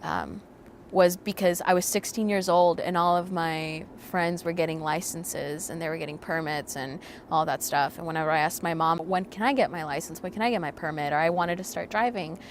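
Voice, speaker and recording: Young woman, soft and reflective. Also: fairly low pitch, steady tone, normal speed, slight background noise. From Mexico. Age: young adult. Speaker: documentary subject. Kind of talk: interview narration.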